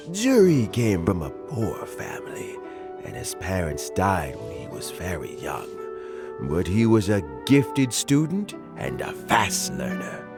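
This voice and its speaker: An actor playing an elderly, kindly mentor official in a video game, speaking in a gentle, reflective and deliberate way. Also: male voice, lowish voice, full character voice. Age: older. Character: elderly kindly mentor official nearing retirement, fond of fishing. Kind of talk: video game dialogue acting.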